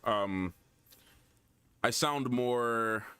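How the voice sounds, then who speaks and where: A man's voice: medium pitch, drawn-out words, bright, forceful tone, clean tone, no room sound. A young man with a Guyanese American accent, chatting casually and thinking aloud while he plays a game. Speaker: gaming streamer. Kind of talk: casual gameplay commentary.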